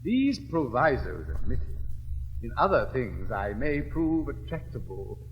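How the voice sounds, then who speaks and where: An actor playing an elegant, witty gentleman suitor in a classic stage comedy, speaking in a crisp, measured, declamatory way. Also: mid-range voice, big pitch swings, lo-fi sound, soft background music. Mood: wry. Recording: reverberant old recording, faint hiss.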